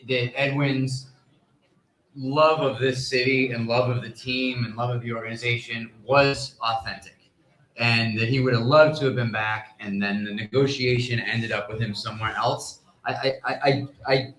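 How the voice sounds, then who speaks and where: Measured male reporter in an echoey room. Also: mid-range voice, steady tone, a bit bright. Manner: measured, careful, halting with repeated starts. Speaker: sports reporter on a panel. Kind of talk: live panel discussion, analysis. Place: live convention stage. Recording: echoey live convention room.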